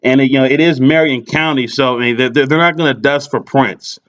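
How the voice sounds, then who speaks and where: A man's voice: mid-range voice, brisk, fairly bright tone. A man making a customer complaint by phone, insistent but polite, often stammering and repeating his words. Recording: muffled lo-fi recorded phone call.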